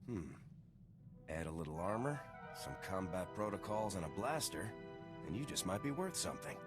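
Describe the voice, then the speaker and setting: Gruff male soldier, deep voice, dry, blunt, businesslike, game dialogue, loud music behind. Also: very animated, normal speed. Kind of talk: video game character acting.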